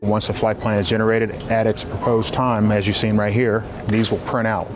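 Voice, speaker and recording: A man's voice: on the low side, level tone, normal speed, music in the background. A man giving a matter-of-fact, procedural demonstration as an air traffic controller, recorded with ambient noise. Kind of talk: on-site demonstration. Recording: clear ambient background noise.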